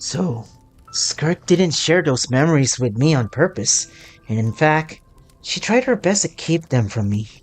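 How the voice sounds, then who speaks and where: Brief: female game streamer, very lively intonation, slow pace, dramatic playthrough commentary over music. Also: deep voice. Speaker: gaming streamer. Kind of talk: game playthrough commentary, reading dialogue aloud.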